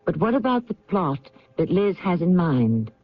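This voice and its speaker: A man's voice: sits high, sing-song, moderate pace. A man hosting a radio mystery, narrating theatrically with wry rhetorical questions. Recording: dull old radio recording. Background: faint music.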